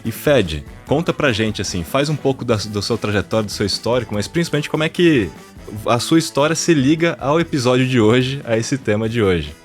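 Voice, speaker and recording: A man's voice: mid-range voice, lively intonation, brisk, soft background music. A man hosting a podcast who introduces a guest in an upbeat way and often adds tag questions. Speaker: podcast host.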